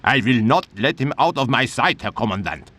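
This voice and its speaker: An actor playing a flustered, obedient German lieutenant guard in a video game. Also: male voice, medium pitch, very animated, moderate pace, natural voice. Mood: cowed. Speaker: guard officer. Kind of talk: video game cutscene acting. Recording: loud music behind the voice.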